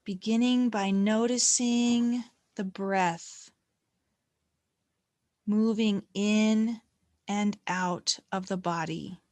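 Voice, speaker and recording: A woman leading a guided meditation in a gentle, calm, unhurried manner with long pauses between phrases. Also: medium pitch, no echo.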